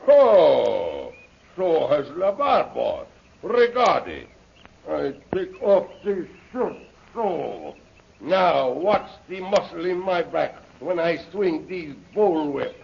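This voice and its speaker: High-pitched, swaggering French henchman. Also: very animated, takes it slowly, gravelly, full character voice. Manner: swaggering, broken English. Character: boastful, brutish henchman with a bullwhip. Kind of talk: 1930s radio serial acting. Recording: noisy, muffled old radio recording.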